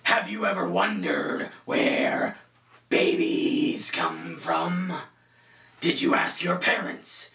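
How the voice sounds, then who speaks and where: A man playing an earnest low-budget parody Batman, telling a children's story in a breathy, growling voice with dramatic, halting delivery. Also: huge pitch swings, sharp tone, slight background noise, heavily acted. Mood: mock solemn. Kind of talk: comedic story reading in character. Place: small bedroom, indoors. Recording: roomy echo, music underneath.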